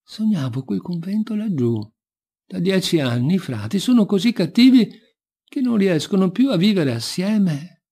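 A man's voice: high-pitched, expressive, moderate pace, dark tone, breathy edge, pitched above the performer's own voice, exaggerated voice. A man voicing an ogre's sly young wife in a folk tale, plaintively recounting pretended dreams. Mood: feigned drowsy.

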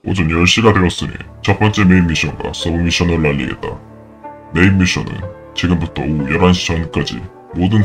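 An actor playing a cold, commanding unseen game master who announces cruel missions in an audio drama. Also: male voice, low-pitched.

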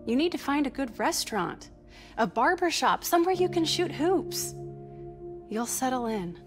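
A woman's voice: high-pitched, expressive, moderate pace, music in the background. A woman playing a teasing, perceptive teenage girl in a video game, with a wry, casual manner. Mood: gentle teasing. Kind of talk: naturalistic video game acting.

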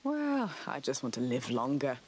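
An actress playing a worldly, caring woman sea trader in a video game drama, with a dry, relaxed and teasing delivery. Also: a bass voice, moderate pace, slight background noise, full character voice. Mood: wry, caring.

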